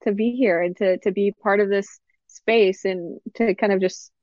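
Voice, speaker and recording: A woman from Miami, an attorney guest on a podcast, speaking in a relaxed way with many fillers. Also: mid-range voice, moderate pace, no echo. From Miami, United States. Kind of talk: casual interview chat. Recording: dull, dry lo-fi recording.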